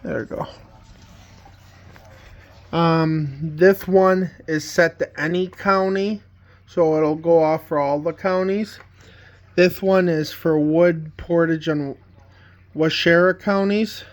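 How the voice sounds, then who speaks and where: Male hobbyist vlogger, lightly raised pitch, very unhurried and halting, plain-spoken casual walk-through at home. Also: steady tone. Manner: halting, plain-spoken, pausing mid-sentence. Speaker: hobbyist vlogger showing a collection. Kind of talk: casual unscripted home video tour. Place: indoors at home, walking between rooms.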